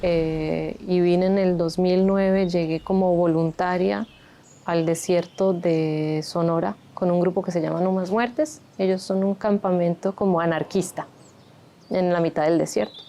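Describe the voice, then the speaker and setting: A woman in her thirties who grew up in Colombia speaks in a lowish, mellow voice. She tells her own story for a documentary in a candid, reflective way. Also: steady tone, unhurried, a little hiss or hum. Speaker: interviewee, migrant shelter founder. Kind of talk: documentary interview.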